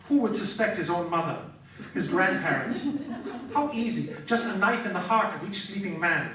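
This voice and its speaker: A man's voice: sits high, lively intonation, moderate pace, a crowd in the background. A man playing a suspicious, alarmed doctor on a space crew, speaking tensely and ominously in classic radio theatre style. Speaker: actor. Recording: very echoey, distant, faint noise.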